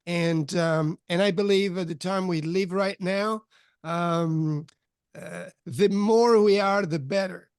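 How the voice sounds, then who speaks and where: High, smooth-voiced man in his fifties. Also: dry recording, studio quality. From Switzerland, of Italian parents. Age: 50s. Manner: halting, many fillers and restarts, soft laughs. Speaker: podcast guest. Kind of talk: candid life-story interview.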